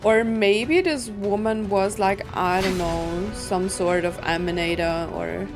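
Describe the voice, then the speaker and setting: A woman's voice: medium pitch, fairly even intonation, slow pace. A woman giving casual game commentary, thinking aloud in a musing, hesitant way. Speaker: gaming streamer. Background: game music.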